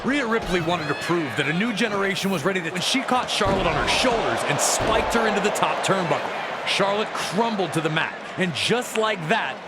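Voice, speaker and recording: A man's voice: sits high, expressive, moderate pace. A man narrating wrestling matches like a sports documentary, with emphatic, dramatic storytelling. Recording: loud crowd roar and arena noise. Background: roaring arena crowd.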